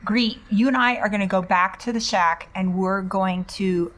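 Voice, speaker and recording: A woman's voice: mid-range voice, normal speed, fairly bright tone. A woman playing a tabletop role-playing game, casually improvising her character's lines and actions.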